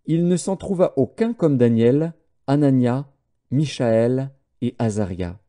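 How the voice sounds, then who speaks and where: A man narrating a scripture audiobook in a formal, measured storytelling manner. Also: mid-range voice, mellow.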